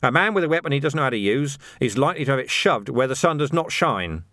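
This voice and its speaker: Man voicing a gruff, wily veteran police sergeant. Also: mid-range voice, very animated, fast, fairly bright tone, no echo, studio quality, voice pushed up. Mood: stern, challenging. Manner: taunting, blunt, streetwise. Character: gruff, wily veteran police sergeant drilling recruits, male, middle-aged.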